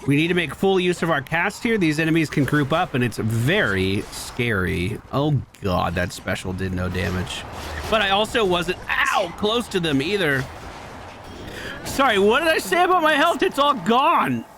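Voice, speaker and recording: A man's voice: on the high side, sing-song, normal speed, fairly bright tone, a little hiss or hum. A man giving casual gameplay commentary as host of a gaming video. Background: video game sounds.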